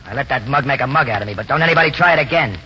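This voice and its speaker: A tough gangster boss in an old radio play. He talks fast in clipped, threatening slang with a sharp, forceful tone. The 1940s broadcast sounds dull and faintly noisy. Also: mid-range voice, animated. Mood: cold menace.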